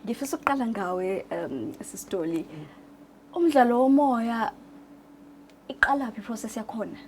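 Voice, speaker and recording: A woman's voice: a little high, animated, normal speed, darkish tone, a little hiss or hum. A South African woman, a native Zulu speaker, hosting a conversational interview in a studio. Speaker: TV host interviewing radio drama staff. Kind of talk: conversational magazine-show interviewing. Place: radio drama studio.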